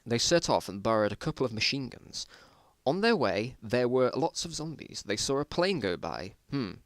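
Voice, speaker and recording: A man's voice: medium pitch, big pitch swings, normal speed, no echo. A man with a mid-range voice gives an amateur dramatic reading of a story. He is wry and drops in self-mocking asides. The recording is dry, with no room sound. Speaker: narrator.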